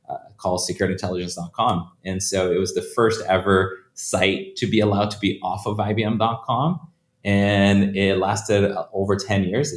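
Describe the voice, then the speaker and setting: A man from Brazil, a guest on an interview podcast, telling his career story in a loose, conversational way and often repeating words as he restarts. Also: low-pitched. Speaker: guest, startup founder. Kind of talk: casual interview answers. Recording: echoey room.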